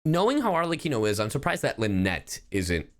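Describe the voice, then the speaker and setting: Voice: medium pitch, wildly swooping pitch, fairly quick. A streamer giving casual live commentary in an exasperated, slangy, profanity-heavy way. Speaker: streamer reacting to game voice lines.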